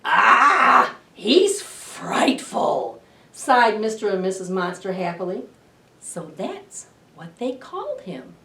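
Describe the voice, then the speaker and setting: A middle-aged woman hosting a children's storytime, reading aloud in an expressive, deliberate way to young listeners. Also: mid-range voice, creaky, a little hiss or hum. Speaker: library storytime host. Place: indoor library children's room. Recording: roomy echo, distant camera microphone.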